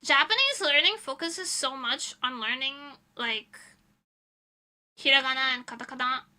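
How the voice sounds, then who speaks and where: A woman's voice: sits high, normal speed, bright, forceful tone. A young woman streaming as a VTuber, chatting casually with her viewers in a rambling, giggly way.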